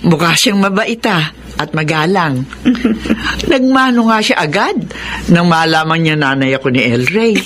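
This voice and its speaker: A woman's voice: low-pitched, lively intonation, unhurried, fairly bright tone. Caring older Filipino mother, noisy. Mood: pleased. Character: concerned, devout older mother counselling her grown son. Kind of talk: Filipino radio drama acting.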